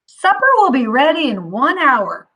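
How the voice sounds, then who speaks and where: A woman's voice: high-pitched, very animated, measured pace, sharp tone, full character voice. A woman playing a woodcutter's sensible but nagging wife in a storybook read-aloud, exclaiming expressively. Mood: pleased, sensible.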